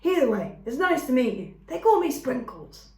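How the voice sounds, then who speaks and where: A woman voicing a friendly, hospitable female skunk who squeaks with excitement. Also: moderate pace. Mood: excited. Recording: roomy echo.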